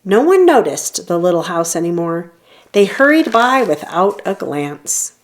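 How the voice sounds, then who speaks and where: A woman reading a children's story aloud in an expressive storytelling manner, leaning on key phrases. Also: fairly low pitch, unhurried. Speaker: storybook reader. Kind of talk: children's read-aloud narration.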